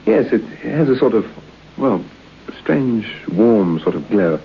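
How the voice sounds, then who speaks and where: Radio drama role: nervous polite man in his thirties, lowish lively voice, halting and stammering, lo-fi broadcast sound. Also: a little hiss or hum. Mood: uneasy, curious. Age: 30s. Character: polite, nervous thirty-three-year-old bachelor guest. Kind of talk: vintage radio suspense acting. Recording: dull lo-fi old radio broadcast.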